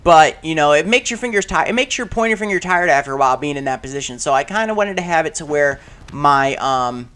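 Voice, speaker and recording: A man with a slightly high, raspy voice talks through a shooter game session in a loose, rambling way. He piles on fillers and restarts, and breaks off into loud shouts at the action. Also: animated, a little fast. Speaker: gaming channel host. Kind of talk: casual commentary while playing a shooter game.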